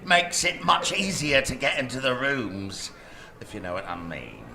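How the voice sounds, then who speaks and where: A man playing a gnarled, creepy desk clerk in a live radio comedy-horror, halting and obsequious. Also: mid-range voice, very animated, vocal fry. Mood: creepy, pleased. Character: gnarled, creepy, slow-witted male hotel desk clerk. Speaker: actor. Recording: live stage recording, background noise.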